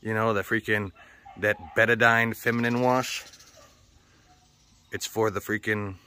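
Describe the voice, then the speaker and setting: Slow-talking American man with a bright, forceful voice. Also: lowish voice, level tone, a little hiss or hum. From United States. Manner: sweary, rambling. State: mildly sore throat. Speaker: vlogger. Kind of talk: casual chat.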